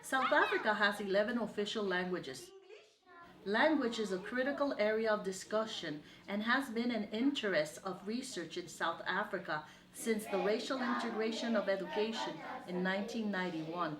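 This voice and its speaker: A woman professor giving a measured, formal scripted narration. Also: medium pitch, echoey room, a crowd in the background. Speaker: education professor presenting.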